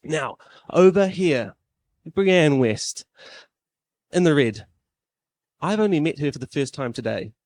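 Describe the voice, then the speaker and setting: A man's voice: on the high side, expressive, takes it slowly, pauses often, a little mellow, no echo. A man in his thirties with a New Zealand accent, emceeing a live event in a classroom-style room, chatty and self-deprecating.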